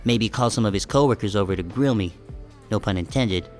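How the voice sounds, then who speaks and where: A man playing a short, self-conscious sheep who narrates an audiobook in a wry, deadpan, casual first-person style. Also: on the low side, a little fast, soft background music, natural voice. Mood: wry, musing.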